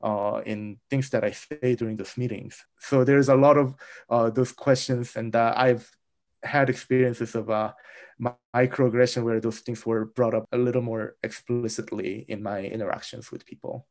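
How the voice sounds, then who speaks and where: A man with a Korean accent, a research analyst speaking thoughtfully in a panel discussion, pausing often with fillers. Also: mid-range voice, fairly even intonation, darkish tone. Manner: thoughtful, frequent pauses and uh fillers.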